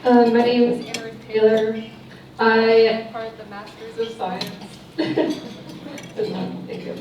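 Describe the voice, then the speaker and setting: Higher-voiced young woman, echoey hall. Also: fairly even intonation, slow, dull audio, a crowd in the background. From Texas. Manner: stumbling over words, laughing it off. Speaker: nutrition student panelist. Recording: echoey banquet hall, distant mic, noise. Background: dishes clinking.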